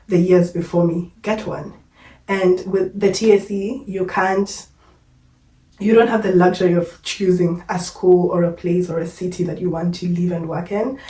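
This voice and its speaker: Kenyan woman with a low, mellow voice. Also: echoey room, far from the mic, rough recording. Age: young adult. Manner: chatty, candid, uses fillers like "like" and "yo". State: unwell, short of breath. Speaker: vlogger. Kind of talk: casual personal storytelling to camera.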